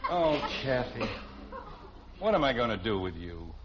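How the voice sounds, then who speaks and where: A man's voice: mid-range voice, big pitch swings, unhurried, background noise. An actor playing a weary, sarcastic middle-aged suburban father in a 1950s radio sitcom, sputtering when flustered, on a muffled old recording. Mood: exasperated.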